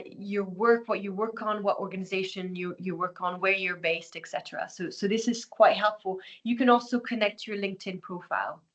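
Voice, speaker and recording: A woman's voice: mid-range voice, a bit bright. A woman hosting an online webinar, walking through a website with many fillers and restarts. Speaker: network host presenting the platform.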